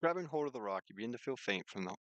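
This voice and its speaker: A man's voice: medium pitch, lively intonation, racing, a bit bright, no echo, dull audio. A man streaming a visual novel, reading its narration aloud with casual commentary and sometimes stumbling over lines and rereading them. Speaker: video game streamer.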